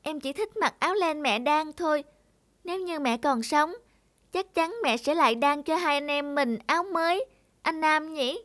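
Woman playing a wistful high-voiced little girl. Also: moderate pace, fairly bright tone, no room sound. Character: wistful little girl, adoring younger sister. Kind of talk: cartoon dialogue.

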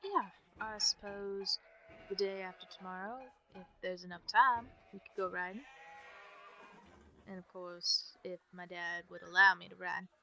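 A woman's voice: lowish voice, fairly even intonation, measured pace, pushed and bright. A woman playing an easygoing young ranch hand in an ASMR roleplay, chatting in a folksy way to a newcomer. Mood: relaxed, friendly. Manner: folksy, drawling phrasing with ain't and gotta. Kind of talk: ASMR roleplay, one-sided chat. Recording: background noise with farm animal ambience.

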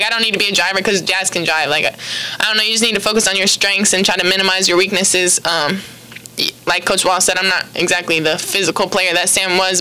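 Bright, soft-spoken young woman. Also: medium pitch, clear, resonant tone, poor audio. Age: young adult. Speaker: college basketball player. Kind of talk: postgame press conference answers. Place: press room at a table. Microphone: table microphone on a stand.

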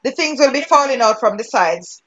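A woman's voice: on the high side, lively intonation, fairly quick, lo-fi sound, background noise. A woman with a Jamaican accent teaching a cooking class over a video call, giving step-by-step directions and laughing easily. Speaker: cooking instructor.

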